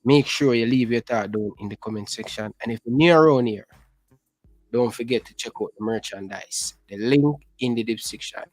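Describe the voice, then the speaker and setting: A Jamaican man hosts a casual online interview with lively energy and patois slang. His voice sounds close and dry, with faint music in the background. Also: medium pitch, moderate pace, no room sound. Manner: energetic, hypes viewers with patois phrases.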